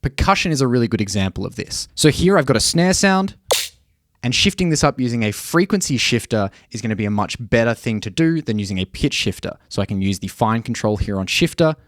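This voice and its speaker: A man's voice: mid-range voice, lively intonation, brisk, dry recording. Dry-sounding man narrating a tutorial. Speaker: music production tutor.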